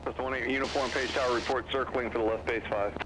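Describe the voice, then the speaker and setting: A man's voice: mid-range voice, flat delivery, fast talker. A man working as an air traffic controller, giving clipped instructions over aviation radio. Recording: noisy aviation radio transmission.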